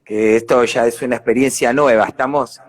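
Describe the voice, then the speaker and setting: A man's voice: medium pitch, fairly even intonation, fairly quick. Earnest Argentine man with a mid-range voice. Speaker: actor being interviewed. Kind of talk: conversational interview answers. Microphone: video call.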